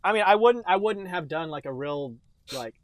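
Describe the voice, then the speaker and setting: A man with a fairly high voice hosts a podcast in casual chat. He talks in a jokey, rambling way. The sound is dry and free of room echo. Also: animated, normal speed. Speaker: podcast host.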